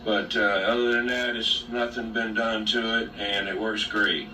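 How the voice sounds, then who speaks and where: A man chatting casually over a CB radio, halting and understated as he talks. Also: fairly low pitch, steady tone, bright, forceful tone. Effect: transmitted over CB radio. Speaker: radio owner. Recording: distant, noisy CB radio transmission.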